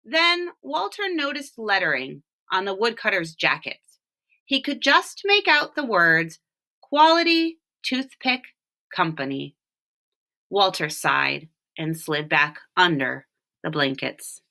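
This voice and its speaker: A woman reading a children's storybook aloud, with expressive, deliberate phrasing and short explanations. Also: lowish voice, gravelly. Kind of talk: read-aloud storytelling with brief picture explanations.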